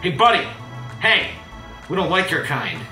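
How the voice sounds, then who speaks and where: A man hosting a casual let's play, joking in a crude, sarcastic, animated way as he plays. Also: on the high side, unhurried, far from the mic. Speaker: host playing a video game. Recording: roomy echo, game music behind. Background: video game music.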